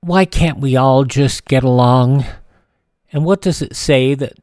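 A man's voice: mid-range voice, unhurried, a little mellow, no room sound, studio quality. A man hosting a classic radio show in an informal, rambling, casual way.

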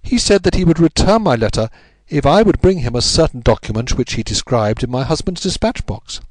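A man's voice: medium pitch, animated, darkish tone, no echo, pitched above the performer's own voice, natural voice, poor audio. A man voicing a distraught, pleading upper-class married Englishwoman in a story, breathless and melodramatic. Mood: anguished confession. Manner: breathless, melodramatic, halting repetitions.

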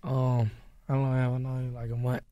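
A young man from Memphis, a guest rapper, giving short, low-key answers in a casual interview with a tired voice. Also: monotone, normal speed, warm tone, no room sound, lo-fi sound. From Memphis, USA. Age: young adult.

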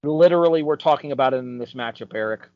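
A man's voice: medium pitch, fast, no echo. A man in his thirties co-hosting a casual podcast panel, arguing his points in an emphatic, opinionated way. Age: 30s. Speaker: podcast co-host. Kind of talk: casual panel debate about game shows. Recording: dull, dry lo-fi recording.

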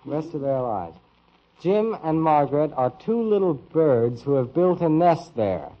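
A brash teenage American son in an old radio comedy. The voice is high and offhand, with slangy, nosy cheek. The recording sounds dull and aged. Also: male voice, sing-song, measured pace. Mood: reading aloud. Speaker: actor in radio sitcom. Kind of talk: old-time radio sitcom acting.

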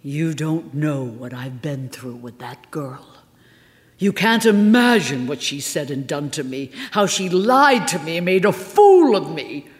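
A woman's voice: deep voice, animated, measured pace. A woman playing a haughty, theatrical stage diva. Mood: aggrieved. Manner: theatrical, indignant, sulky. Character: dramatic, imperious famous stage actress woman. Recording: echoey reverberant room.

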